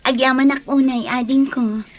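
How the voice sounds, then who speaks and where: A woman playing a soft-hearted, hopeful young woman in love in an Ilocano radio drama. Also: high-pitched, steady tone, normal speed, music in the background, lightly acted. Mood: grateful.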